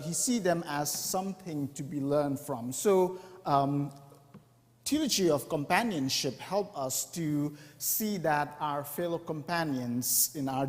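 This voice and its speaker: A man, speaking in a slightly raised register. He explains his points earnestly and often pauses to find the next word. His voice carries a long reverb through a church hall. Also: a little high, darkish tone. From India. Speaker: mission speaker. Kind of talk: church presentation talk.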